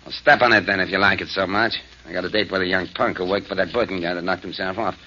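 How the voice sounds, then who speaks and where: An actor playing a menacing male gambling boss in a 1940s radio drama, talking in a gruff, threatening way with clipped slang. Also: low-pitched, steady tone, quick pace, sharp tone. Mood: bossy. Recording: muffled old radio broadcast.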